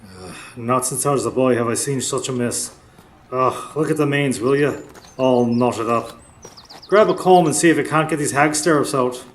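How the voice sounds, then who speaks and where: A man acting as a gruff, edgy stable boss in a radio drama. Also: mid-range voice, moderate pace, a little hiss or hum, close to an everyday voice. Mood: irritated, uneasy.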